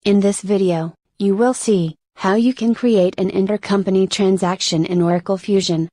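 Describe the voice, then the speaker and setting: A woman's voice: mid-range voice, fairly even intonation, moderate pace, warm tone, dry recording. Woman narrating a tutorial, very dry recording. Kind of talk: scripted instructional narration.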